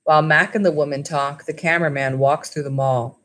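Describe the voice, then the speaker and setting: A woman's voice: low-pitched, fairly even intonation, brisk, fairly bright tone. A woman in her thirties, a school library teacher, reading a storybook aloud and talking informally to children. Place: small indoor room at home with wood-panelled walls. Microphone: webcam or laptop mic at arm's length.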